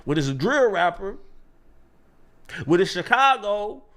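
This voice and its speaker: A man's voice: high-pitched, big pitch swings, a little slow, fairly bright tone. A man in an online commentary video, talking in an emphatic, rhetorical, preachy way.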